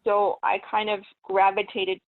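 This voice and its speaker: A woman's voice: medium pitch, monotone, measured pace. A woman guest speaking animatedly, pressing her points with rhetorical questions. Her voice comes through a narrow, tinny line, like a phone call. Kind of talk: casual conversation.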